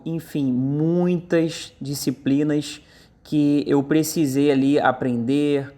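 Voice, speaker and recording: A Brazilian man interpreting a deaf speaker's talk aloud in first person, in short halting phrases with frequent fillers. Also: medium pitch. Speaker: sign language voice interpreter.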